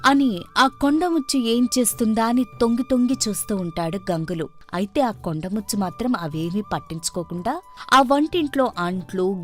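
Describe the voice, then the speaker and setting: A woman narrating a scripted story in an expressive storytelling manner. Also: medium pitch.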